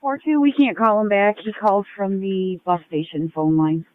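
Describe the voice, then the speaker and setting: A woman's voice: medium pitch, fairly even intonation, normal speed, dry recording. A woman working as an emergency dispatcher, giving terse replies over a two-way radio. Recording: tinny narrow-band scanner radio.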